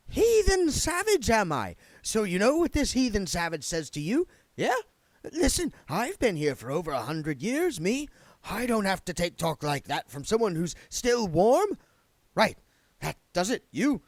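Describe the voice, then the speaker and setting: A man's voice: extremely high pitch, big pitch swings, measured pace, dry recording, studio quality, voice pushed up, full character voice. A man voicing a reedy, petulant male spirit guide who is scrappy and quarrelsome, in a storybook reading. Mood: indignant. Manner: squabbling, combative.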